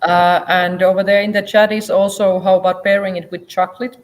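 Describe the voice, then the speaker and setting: A woman's voice: medium pitch, level tone, brisk. A woman from Finland with a Finnish accent, chatting casually as a whisky expert at a tasting. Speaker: whisky distillery expert and guest. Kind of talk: casual tasting chat in English.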